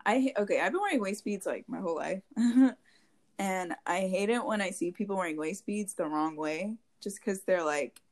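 A woman's voice: medium pitch, moderate pace. A young woman co-hosting a casual chat podcast, giggly and rambling with lots of fillers, talking into a handheld mic held close. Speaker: podcast co-host. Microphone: handheld mic held to mouth.

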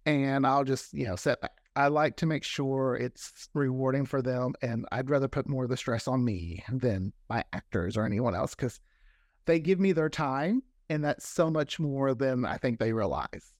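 A man's voice: mid-range voice, normal speed, no echo, clean studio sound. An American man talking casually as an interview guest, chatty and anecdotal, often quoting conversations. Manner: chatty, anecdotal, acts out quoted dialogue. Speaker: interview guest, fiction podcast creator.

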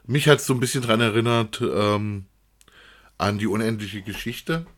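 Very deep-voiced man, casual podcast chat. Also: moderate pace. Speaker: podcast co-host reviewing audio dramas. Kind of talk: unscripted casual review chat.

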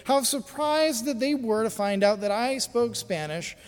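A man who is a pastor, from the United States, telling a personal story in a sermon in an easy conversational way, with pauses and fillers. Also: very high voice, lively intonation, in a large hall.

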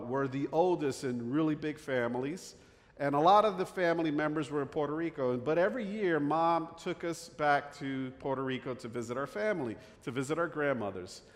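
Middle-aged North Jersey pastor, a bit higher voice, relaxed and chatty, long church-hall reverb. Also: male voice. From northern New Jersey. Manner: conversational with fillers and restarts. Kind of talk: sermon.

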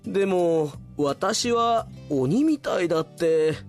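Prim demon salaryman played by a man: higher-pitched, very animated, polite and anxious, radio drama with music. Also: takes it slowly, full character voice. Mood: hurt. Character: earnest, strict, polite oni demon working as office accountant. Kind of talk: comic radio drama acting.

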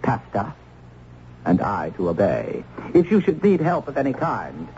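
An actor playing a pompous, scornful gentleman witch accuser in a theatrical old-time radio drama. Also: on the low side, huge pitch swings, a little slow, over loud music, heavily acted. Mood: obsequious. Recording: muffled, noisy old radio recording.

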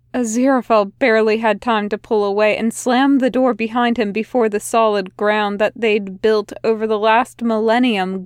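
Woman narrator for a fan audiobook; slow, gentle delivery, fairly high voice. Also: no echo, clean studio sound. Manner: slow, soft, unhurried reading. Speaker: podfic reader.

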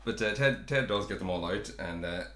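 A man's voice: lowish voice, brisk, a bit bright, reverberant. A man hosting a podcast, chatting casually about a sitcom in a rambling, self-correcting way. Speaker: podcast host.

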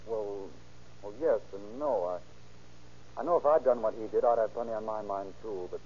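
Actor as low-voiced, upset, hesitant young witness. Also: male voice, warm tone, natural voice. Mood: shaken. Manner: hesitant, earnest, halting. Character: upset, bitter friend of a shot young woman. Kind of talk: 1930s-40s radio crime drama acting. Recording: dull old radio recording, faint noise.

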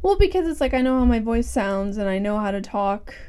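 A young woman hosting a casual podcast, relaxed and joking, swearing freely as she chats. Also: on the high side, brisk, clean tone. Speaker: podcast host. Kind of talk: casual chat.

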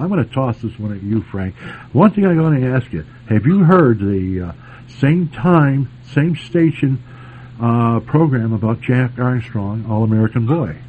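Male host for casual nostalgia radio chat, joking and very animated, on a narrow, tinny broadcast line. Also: mid-range voice, breathy edge. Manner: teasing, joking. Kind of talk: casual bantering chat about old-time radio. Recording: noisy phone-like lo-fi radio sound.

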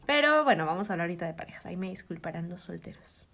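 A woman's voice: on the low side, racing, gravelly. A woman with a Mexican accent, a podcast co-host explaining in a casual, teacherly way. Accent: Mexican Spanish. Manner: animated, teacherly, laughs often.

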